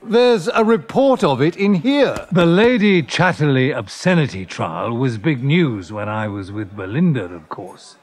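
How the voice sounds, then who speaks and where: Brief: self-pitying, reflective man in audio drama; swooping, unhurried delivery, halting and theatrical. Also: mid-range voice, sing-song. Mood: awkward, flustered. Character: rueful, self-pitying man recalling old love affairs. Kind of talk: intimate audio drama monologue and dialogue.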